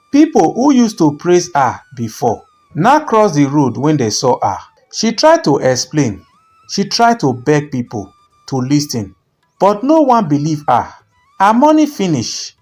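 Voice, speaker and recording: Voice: mid-range voice, a little mellow. A narrator telling a scripted folktale in a dramatic storytelling style.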